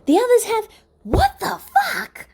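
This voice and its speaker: An excitable male hero of about thirty, acted out by a woman. He is loud and exclamatory, and his pitch jumps high. It belongs to a dramatic fan fiction story. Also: slow, noisy background, heavily acted. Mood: shocked.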